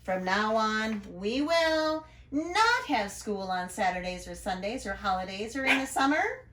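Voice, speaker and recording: A woman's voice: a little high, big pitch swings, a little slow, fairly bright tone, echoey room. A woman voicing an earnest, enthusiastic male school principal in a storybook, emphatic and proudly repeating himself. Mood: earnest, announcing. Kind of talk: storybook read-aloud.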